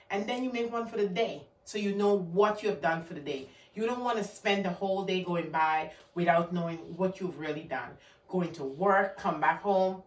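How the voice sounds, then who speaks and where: A woman's voice: lowish voice, far from the mic. Emphatic Guyanese woman in an echoey room. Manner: emphatic, repeats key words. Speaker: motivational vlogger. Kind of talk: motivational talk to camera.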